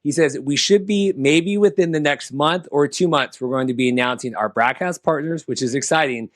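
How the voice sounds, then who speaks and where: A man's voice: a little high, normal speed, dry recording. Man hosting casual sports talk, dry sound. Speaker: sports podcast host.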